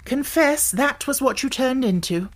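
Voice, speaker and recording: A woman's voice: sits high, expressive, measured pace, voice pushed up. A woman voicing a spirited, bossy yet affectionate young girl in a storybook audiobook, with emphatic, scolding and coaxing delivery. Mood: triumphant.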